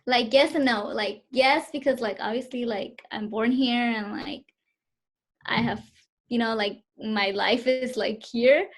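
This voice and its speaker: A woman's voice: fairly high pitch, unhurried. A woman in her twenties answering interview questions over a video call, hesitant and full of fillers. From Southern California, US. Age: 20s.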